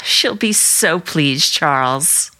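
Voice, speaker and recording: A woman's voice: low-pitched, lively intonation, takes it slowly, bright, forceful tone, exaggerated voice. An actress playing a fond, chatty middle-aged housewife and mother in a radio play, fluttery and eager. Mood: fond fluster. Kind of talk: dramatic radio play acting.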